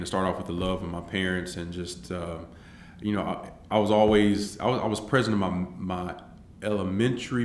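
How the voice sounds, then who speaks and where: Low-voiced man in his forties, echoey room. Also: a little hiss or hum. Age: 40s. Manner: earnest and rambling, many restarts and fillers. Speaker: interviewee. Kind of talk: filmed personal interview, reflective storytelling.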